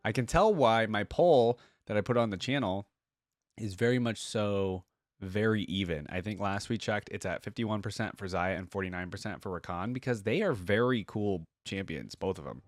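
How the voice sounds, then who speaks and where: A man's voice: fairly low pitch, moderate pace, no room sound, clean studio sound. A man hosting a reaction video, giving casual commentary and thinking aloud as he goes.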